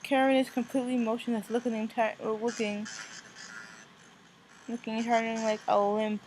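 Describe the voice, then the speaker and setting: A woman hosting a visual novel let's play, reading aloud with casual, expressive reactions and stumbling over and repeating phrases. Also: a little high, moderate pace, slight background noise, low-quality recording. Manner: expressive, reacts in outbursts between read passages. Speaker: gaming video host. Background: music.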